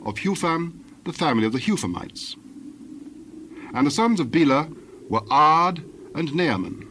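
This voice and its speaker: A man's voice: fairly high pitch, sing-song, a bit bright. Man formally narrating scripture over background noise. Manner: formal, measured recitation. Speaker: Bible narrator. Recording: clear ambient noise behind narration.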